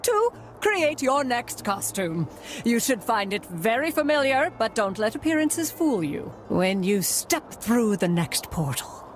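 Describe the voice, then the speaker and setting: A woman's voice: fairly high pitch, big pitch swings, measured pace. A woman voicing a mysterious, imposing fortune teller with dramatic delivery in a cartoon game. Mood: awed, mysterious. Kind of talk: animated cartoon game voice acting.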